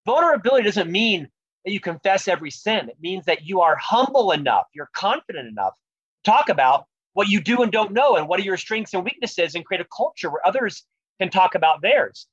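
Man, high pitch, stutterer, earnest, reflective, interview guest, dry slightly dull sound. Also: big pitch swings, fairly quick, fairly bright tone, breathy edge, no echo. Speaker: guest, author and leadership speaker. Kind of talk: podcast interview conversation.

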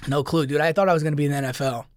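A man's voice: a little high, fairly even intonation, very fast, clean tone, dry recording, studio quality. Rambling casual New guy. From New York. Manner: loose and rambling, full of likes and dudes. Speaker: guest, social media creator. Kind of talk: casual podcast chat.

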